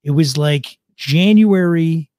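A man's voice: fairly high pitch, slow, darkish tone, dry recording, clean studio sound. A man hosting a podcast, asking questions in a joking, teasing way. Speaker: podcast host. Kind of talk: casual interview chat.

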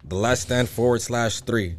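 A man's voice: medium pitch, measured pace. A man who is a guest rapper, chatting casually in an interview with heavy slang and a loose, repetitive way of talking. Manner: loose, slangy, repeats himself.

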